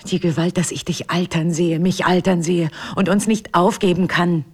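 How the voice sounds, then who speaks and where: An actress playing a wounded, bitter middle-aged wife in a German radio drama, speaking with accusing intensity and building repetitions. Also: female voice, lowish voice, fairly even intonation, moderate pace, darkish tone, slightly rough. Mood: anguished.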